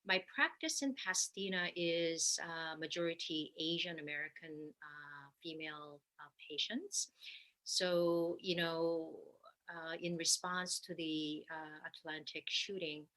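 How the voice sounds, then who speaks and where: A middle-aged woman, a clinical psychologist speaking as a podcast guest, thoughtful, with many "uh" pauses. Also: deep voice, a bit bright, poor audio. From Korea. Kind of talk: podcast roundtable discussion.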